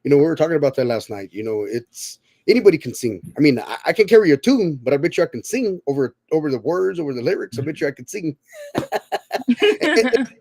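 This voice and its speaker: A man's voice: fairly high pitch, very animated, quick pace, a little mellow, dry recording. Man in his thirties, podcast host, rambling casual chat, repeats himself, dry close sound. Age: 30s. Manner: rambling, repeats words often.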